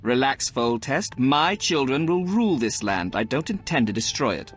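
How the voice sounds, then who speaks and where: Cocky young king, animated intonation. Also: mid-range voice, moderate pace, a little hiss or hum. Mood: self-assured. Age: young adult. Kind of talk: video game acting.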